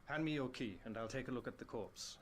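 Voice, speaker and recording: A medium-pitched male voice from an actor plays a cautious gentleman in a visual novel. He is measured, plain-spoken and quietly firm, over faint background noise. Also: natural voice.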